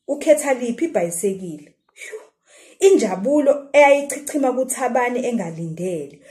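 Female storyteller, middle-aged, South African Zulu accent, medium pitch, expressive read-aloud for little children. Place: small room indoors at home.

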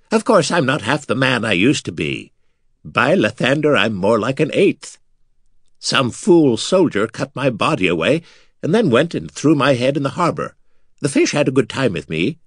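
A man's voice: very animated, moderate pace, a bit bright, slightly rough, dry recording, clean studio sound, full character voice. Man voicing a chatty hollow-voiced skull. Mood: cheery. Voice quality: odd hollow, like a low flute. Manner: chatty, gloating, jokey. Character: cheery talkative male undead skull. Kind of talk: audiobook character voice.

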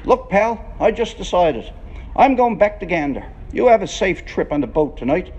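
Man reading as a blunt, high-pitched lovelorn biker. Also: big pitch swings, normal speed, a little mellow, breathy edge, muffled recording, heavily acted. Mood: resolved. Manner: blunt, colloquial, emphatic. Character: tall lanky lovelorn biker, frank and questioning.

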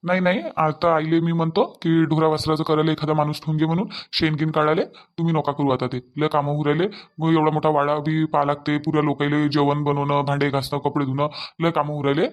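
A high-pitched, quick-talking young cartoon son played by a man with a Varhadi Marathi accent. He is earnest and anxious. His words hurry along and circle back on themselves. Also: little pitch movement, lightly acted. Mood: concerned. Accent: Varhadi Marathi of Vidarbha. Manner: earnest, hurried, repetitive. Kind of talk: family cartoon dialogue.

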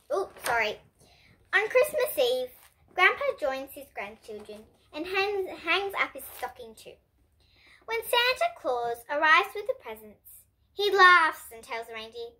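A young girl reading a picture book aloud for children as an expressive storyteller who now and then stumbles over a word. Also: extremely high pitch. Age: child, about 8 to 11. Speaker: host and reader of children's bedtime books. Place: indoor room with bookshelves.

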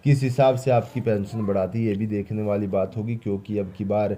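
A man's voice: lowish voice, little pitch movement, fairly quick, dark tone. A man hosting an explanatory news update, speaking emphatically and repeating key phrases. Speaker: host of pension update channel. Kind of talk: explanatory news commentary over slides.

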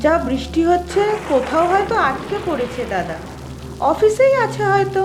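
No-nonsense cartoon sister-in-law, super high, homely and comforting, echoey, music behind. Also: female voice, sing-song, moderate pace. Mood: reassuring. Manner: chiding, reassuring, homely. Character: practical, reassuring young sister-in-law. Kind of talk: Bengali cartoon voice acting.

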